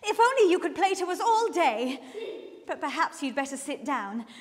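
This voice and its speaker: Woman acting a gushing female teacher, high-pitched, very animated, theatrical, radio drama, echoey hall. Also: moderate pace. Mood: besotted, gushing. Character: gushing, adoring schoolteacher woman, grandly besotted with a pupil. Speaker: actor in an amateur radio play. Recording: echoey hall, long reverb.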